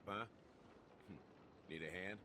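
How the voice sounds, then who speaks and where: An actor playing a sly, confident trickster in a game drama, with a casual, wry, taunting manner. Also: male voice, low voice, fairly even intonation, takes it slowly, pushed and bright, faint music behind, full character voice. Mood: teasing.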